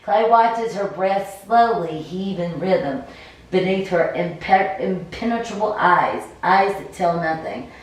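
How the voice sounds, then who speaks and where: A woman's voice: fairly low pitch, lively intonation, takes it slowly. A woman doing a cold read of a story game aloud, stumbling over words and adding casual comments. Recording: very echoey room, distant mic, faint noise.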